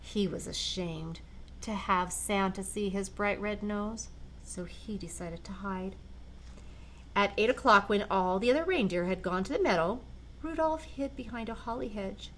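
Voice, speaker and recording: An older woman reads a children's storybook aloud in a gentle, expressive way. Her voice sits at a middling pitch. A faint hiss runs under the recording. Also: unhurried. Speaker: storyteller grandmother. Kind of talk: reading a picture book aloud.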